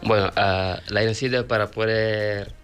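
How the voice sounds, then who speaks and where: A man with a Fula accent, a native Fula speaker, talking as a radio interview guest, halting with frequent restarts and repeated words. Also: on the low side, fairly even intonation, fairly bright tone, soft background music. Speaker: guest, author of a Fula learning book.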